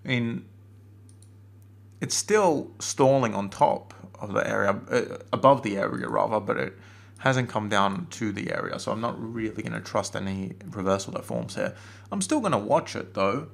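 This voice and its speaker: A man talks through charts in a relaxed webinar, his low voice gravelly and strained by a cold. He stops and restarts often, filling gaps with ums and uhs, as if speaking into a desk mic. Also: normal speed. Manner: casual, hesitant, many fillers and restarts. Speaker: forex trading analyst. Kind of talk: screen-recorded chart analysis webinar.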